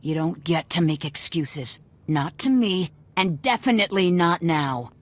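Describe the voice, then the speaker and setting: A woman's voice: on the low side, lively intonation, unhurried. A woman playing a fanatical rebel cell commander in a video game, blunt, curt and threatening. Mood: impatient, threatening.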